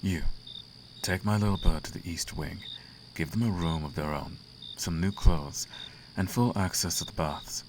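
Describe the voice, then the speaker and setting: A man playing a seductive, imperious demon prince in a flirtatious roleplay ASMR, speaking in a courtly, teasing manner with a creaky low voice. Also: normal speed, heavy background noise, lightly acted. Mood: commanding.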